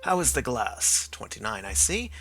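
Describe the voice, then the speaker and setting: A man reading the part of a sharp, confident male detective in a storybook, brisk and assured. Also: mid-range voice, big pitch swings, creaky, faint music behind.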